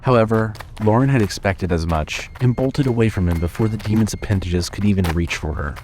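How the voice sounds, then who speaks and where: Narrator, male: fairly low register, scripted horror storytelling, light background ambience under the voice. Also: fairly quick, noisy background.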